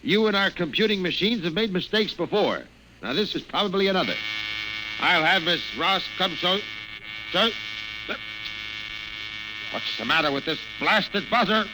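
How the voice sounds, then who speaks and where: A man's voice: sits high, very animated, normal speed, long pauses. An actor playing an irascible, domineering male office boss in an old-time radio drama, scornful and barking. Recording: noisy vintage radio, loud buzzing.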